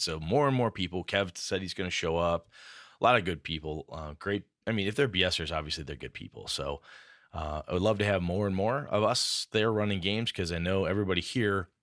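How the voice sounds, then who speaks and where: Man, very low voice, casual podcast chat, animated storytelling, dry recording. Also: no room sound. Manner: animated storyteller acting out quotes. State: a bit groggy. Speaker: podcast co-host.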